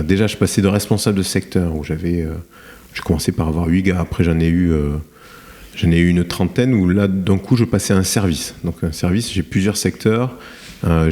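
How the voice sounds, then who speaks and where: Deep, mellow man, halting. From France. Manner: hesitant, many fillers and restarts. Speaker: guest, cement plant director. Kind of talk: podcast interview, reflective storytelling.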